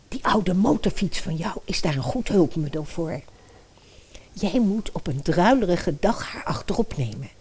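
A woman's voice: medium pitch, mellow, breathy edge, heavily acted. A woman playing a cold, scheming, murderous stepmother in a fairy-tale radio play, calculating and persuasive as she gives instructions.

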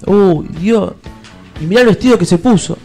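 An actor with an Argentine accent playing a crude, lovestruck fairy-tale prince in a comic radio play, mock-courtly and slipping into street slang. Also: male voice, high voice, moderate pace, darkish tone, faint music behind. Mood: exasperated, eager. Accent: Argentine, Rioplatense. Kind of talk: comic radio theatre parody.

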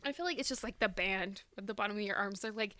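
A woman's voice: medium pitch, fast, no echo. A young woman co-hosting a podcast, chatting animatedly with lots of "like" and acted-out quotes. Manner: animated, filler-heavy, acts out quotes. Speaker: podcast co-host. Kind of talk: casual chat.

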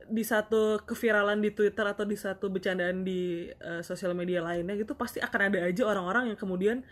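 A young woman co-hosting a casual podcast chat, talking in a measured, reflective way with frequent agreeing murmurs. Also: mid-range voice, fairly even intonation. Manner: measured, reflective, many backchannels. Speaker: podcast co-host.

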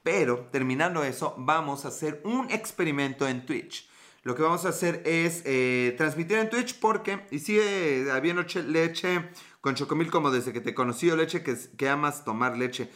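Mexican host, 30s, casual live chat, swears and jokes, bright tone. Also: male voice, fairly high pitch. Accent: Mexican Spanish. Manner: joking, profane, playful. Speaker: podcast host. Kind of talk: casual live-stream chat reading comments.

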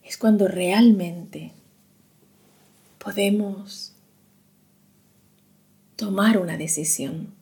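A woman guiding a meditation in a soothing, hushed manner, leaving long pauses between short phrases. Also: medium pitch. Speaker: meditation guide. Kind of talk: slow guided meditation narration.

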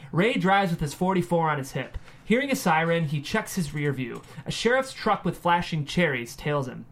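A man reading scripted screenplay narration and stage directions in a measured way. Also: on the high side.

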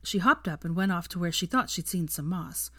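Low-voiced woman narrating a story, dry sound. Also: fairly quick, husky, no room sound. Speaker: fan fiction narrator. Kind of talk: expressive audiobook-style story narration.

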